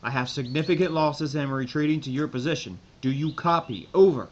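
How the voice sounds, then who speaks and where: Muffled recording, guy acting major barking military orders, desperate and stubborn, slightly high voice, audio drama. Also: brisk, natural voice. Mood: urgent. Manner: barked military orders and radio procedure. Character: embattled American army major, commanding, desperate, stubborn. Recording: muffled, lo-fi, with background noise.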